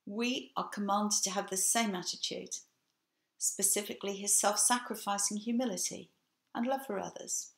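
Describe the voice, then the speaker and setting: A woman in her sixties with an English accent, giving a measured, earnest sermon from home to a webcam. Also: medium pitch, steady tone. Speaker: preacher. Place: home room with bookshelf, indoors. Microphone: webcam, seated facing camera. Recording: echoey room.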